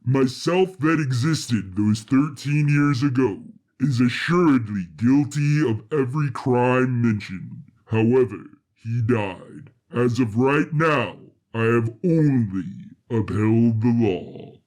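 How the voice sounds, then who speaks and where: An actor playing a resurrected android and reformed murderer in an audio drama, solemn and defiant, speaking formally and deliberately. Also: male voice, medium pitch, a little mellow, close to an everyday voice. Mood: cold, defiant. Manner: formal, slow, deliberate speech. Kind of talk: scripted audio drama acting.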